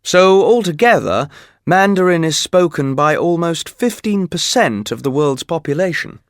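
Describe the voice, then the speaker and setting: Measured male textbook narrator. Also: on the high side, no room sound, studio quality. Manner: steady, measured, explanatory. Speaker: textbook audio reader. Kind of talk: scripted educational narration.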